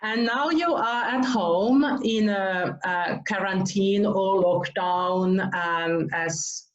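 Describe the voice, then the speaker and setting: A woman from Finland hosting an online interview, gushing with praise and laughing softly as she talks. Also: mid-range voice, takes it slowly. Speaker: interview host. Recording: roomy, dull video call audio.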